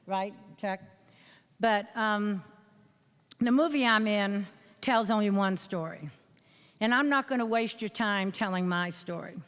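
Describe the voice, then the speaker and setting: An older woman with a New England accent speaks to a school assembly as a veteran, earnest and emphatic, with measured pauses. Her voice is smooth and clear, and it rings with a long reverb through a large hall. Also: medium pitch, a bit bright. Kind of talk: speech. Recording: echoey hall.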